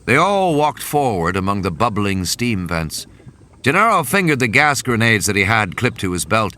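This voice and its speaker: Male audiobook narrator with lively intonation. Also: fairly low pitch, moderate pace. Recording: sound effects and vehicle ambience behind. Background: vehicle and ambient sound effects.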